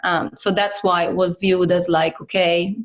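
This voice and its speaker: A woman's voice: fairly low pitch, level tone, measured pace. A woman of origin who grew up in Italy, speaking as a company head in a casual interview, with many fillers and restarts. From Croatian, grew up in Italy. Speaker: guest, company CEO.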